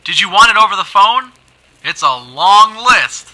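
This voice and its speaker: A telephone clerk at a city archive in a radio play. She is polite and very slow, with a piercingly bright, lilting voice. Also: medium pitch, animated, rough recording. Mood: businesslike. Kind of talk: old time radio drama acting.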